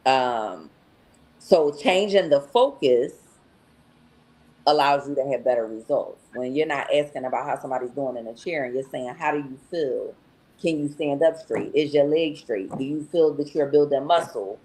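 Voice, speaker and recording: Woman guest, husky voice, earnest, says um and right a lot. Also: very deep voice, darkish tone, poor audio. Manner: earnest, explanatory, many "um"s and "right?"s. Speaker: podcast guest, patient's wife. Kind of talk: casual podcast testimonial.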